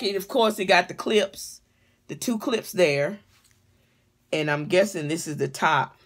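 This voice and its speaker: A woman's voice: lowish voice, a bit bright, breathy edge. A middle-aged woman chatting casually in a home vlog, blunt and full of swearing, with comic exasperation. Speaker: vlogger reviewing a wig. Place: small living room or bedroom at home, indoors. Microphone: phone or webcam at arm's length.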